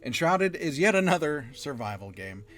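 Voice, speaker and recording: A man's voice: on the high side, sing-song, normal speed, fairly bright tone. Rambling man hosting a casual podcast. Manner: rambling, self-correcting, laughs easily. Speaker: podcast host.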